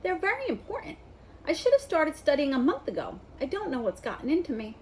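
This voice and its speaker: A woman's voice: high-pitched, wildly swooping pitch, a little fast, darkish tone, slight background noise, pitched above the performer's own voice. A woman voicing a bossy, anxious, studious schoolgirl with an emphatic, nagging delivery in a storybook reading.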